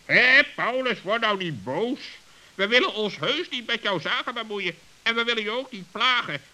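A man playing a brisk, teasing but kindly raven in a children's radio play, with a high, sharp voice. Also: lively intonation, full character voice, rough recording. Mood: soothing.

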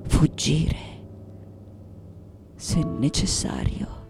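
An actress playing a reflective, anguished and defiant artist in her late nineties in a theatrical, deliberate radio monologue. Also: music in the background. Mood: intent, driven. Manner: theatrical, deliberate, dwelling on repeated words. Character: 98-year-old woman artist, intense, reflective, anguished, defiant.

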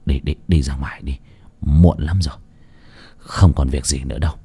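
A man voicing a frightened middle-aged father who mutters in a low, hushed, half-whispered voice. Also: moderate pace, breathy edge, heavily acted. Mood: hushed urging.

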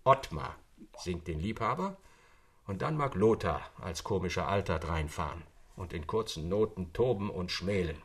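An actor playing a playful, bossy music-loving friend in a literary radio drama, with a husky voice. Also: male voice, low voice, expressive, normal speed, heavily acted.

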